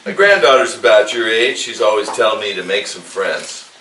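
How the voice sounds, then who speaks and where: Man playing curmudgeonly old man, lowish pitch, forceful, dry delivery, folksy, staged script reading, distant mic. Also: big pitch swings, moderate pace. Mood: dry, softening. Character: grumpy old male retired teacher, scowling but forgiving. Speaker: actor at a staged table read. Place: live staged event in a room. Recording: roomy, distant microphone, faint noise.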